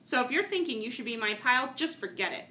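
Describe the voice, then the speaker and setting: A woman's voice: on the high side, expressive, quick pace, sharp tone, pitched above the performer's own voice. A woman voicing a small, plucky and easily offended pet hamster from a children's storybook, speaking emphatically. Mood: indignant, defiant. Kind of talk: children's storybook character dialogue. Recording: noticeable background room noise.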